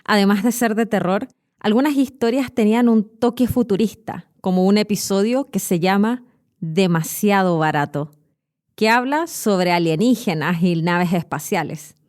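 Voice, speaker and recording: Chilean woman, mid-pitched expressive storyteller. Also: normal speed. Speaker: podcast host and Spanish teacher. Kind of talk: scripted educational storytelling.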